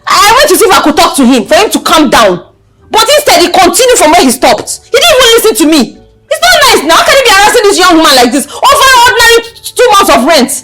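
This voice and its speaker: Indignant, high-voiced young Nigerian daughter. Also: female voice, very animated, fast talker, poor audio. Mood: upset, pleading. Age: young adult. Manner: insistent, repetitive, emphatic. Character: indignant young village daughter, outspoken, quick to scold. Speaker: actor. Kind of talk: film drama acting. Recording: background music under the dialogue.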